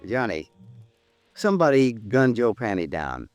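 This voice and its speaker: A man's voice: fairly low pitch, very animated, measured pace, faint music behind. An American man acting a friendly, worried colleague in a 1950s radio drama, folksy and earnest.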